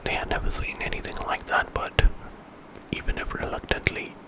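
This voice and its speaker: A man whispers an ASMR story in an unscripted, conversational way, with dramatic detail. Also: moderate pace.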